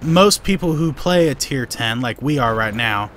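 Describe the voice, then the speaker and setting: A man's voice: medium pitch, lively intonation, moderate pace, fairly bright tone, slight background noise. A young man, Korean by birth, hosting a live gameplay Q&A and answering viewer questions in a rambling way, with lots of restarts. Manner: rambling, many "you know"s and restarts. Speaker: gaming channel host.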